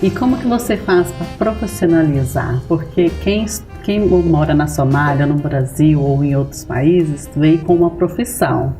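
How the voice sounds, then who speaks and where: Host lady, low warm voice, excited, keeps prompting the guest. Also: moderate pace, few pauses, soft background music. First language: Portuguese. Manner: enthusiastic, prompting. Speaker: interviewer, host. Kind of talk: TV-style interview hosting.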